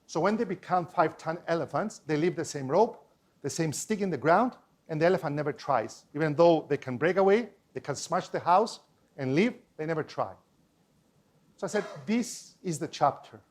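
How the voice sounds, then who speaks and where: Cypriot man with a higher voice, speaker at a live talk, animated storyteller who acts out the dialogue. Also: moderate pace. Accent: Cypriot Greek. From Cyprus. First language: Greek. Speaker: public speaker.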